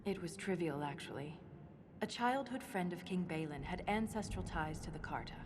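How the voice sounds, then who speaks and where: An actress playing a principled, proud dwarven historian in a fantasy video game, speaking in a precise, measured, dry manner. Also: female voice, on the low side, expressive, noisy background, natural voice. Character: scholarly female dwarven historian, principled, proud, quietly defiant. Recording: clear game music underneath.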